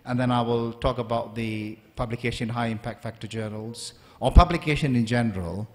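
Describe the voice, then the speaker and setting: A man in his forties, from Malaysia, giving a polite, measured university lecture at a stand microphone with frequent ums and uhs. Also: mid-range voice. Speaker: guest lecturer. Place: large lecture hall, standing at a lectern. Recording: long hall reverb.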